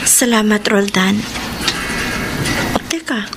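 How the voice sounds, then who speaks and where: A woman's voice: medium pitch, slow pace, very noisy. An actress playing an anxious young girlfriend in a Filipino radio drama. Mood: subdued, unsure. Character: anxious young pregnant girlfriend seeking commitment.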